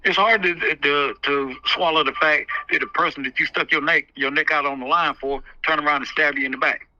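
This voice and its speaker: Bright, forceful man on the phone. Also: fairly high pitch, steady tone, a little fast, dry recording. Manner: emphatic, repeats phrases for rhetorical effect. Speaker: community activist giving advice on a call. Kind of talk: candid phone conversation, advising. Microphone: telephone. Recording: muffled, band-limited phone call.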